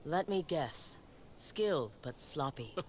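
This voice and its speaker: A woman's voice: deep voice, lively intonation, slow, darkish tone, slight background noise. An actress playing a tough, self-taught young female wanderer and warrior in a video game, speaking in a terse, dry, guarded way. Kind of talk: dramatic video game acting.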